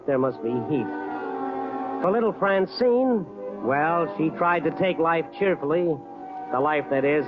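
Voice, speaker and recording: An actor plays a tough-but-tender American newspaperman of old radio noir. His voice is a little high for a man, wry and punchy with wisecracks. The recording is muffled, with music clearly behind it. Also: lightly acted. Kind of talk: 1950s radio drama acting and narration.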